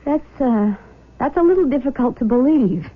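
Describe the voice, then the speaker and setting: Nervous high-voiced young American daughter. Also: female voice, lively intonation, moderate pace. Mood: hesitant, wary. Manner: hesitant, nervous. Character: anxious, stubborn young adopted daughter. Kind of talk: 1970s radio drama acting. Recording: thin, phone-like, noisy vintage radio recording.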